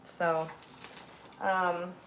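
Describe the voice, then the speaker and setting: Slow, raspy woman chatting while cooking. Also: lowish voice, flat delivery, fairly bright tone. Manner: chatty, rambling, narrates each step while cooking. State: a little sick. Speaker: cooking livestream host. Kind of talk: casual cooking livestream chat. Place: home kitchen. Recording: noisy home kitchen recording.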